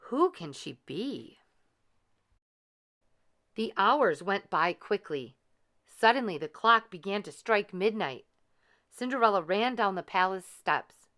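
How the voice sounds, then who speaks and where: A woman narrating a children's storybook in a deliberate, expressive way. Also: mid-range voice. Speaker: children's read-aloud host and storyteller.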